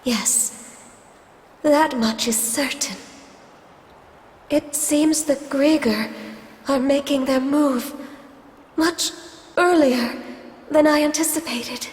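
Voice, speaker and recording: Mysterious game woman, composed, very slow delivery, high voice, echoing reverb. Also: a little mellow. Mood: solemn, measured. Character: mysterious, composed woman speaking remotely through a seashell. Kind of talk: scripted video game voice acting.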